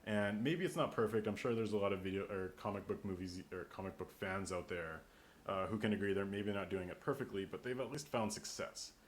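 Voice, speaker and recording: A man's voice: lowish voice, brisk. A man hosting a casual podcast discussion, thinking aloud as he builds up long questions. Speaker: podcast host. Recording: clear background noise.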